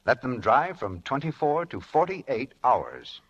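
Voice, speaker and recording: A man's voice: medium pitch, very animated, a little slow, a bit bright. A man reading a scripted 1940s radio commercial as announcer, with a polished, instructive sales delivery. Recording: dull, muffled old radio broadcast.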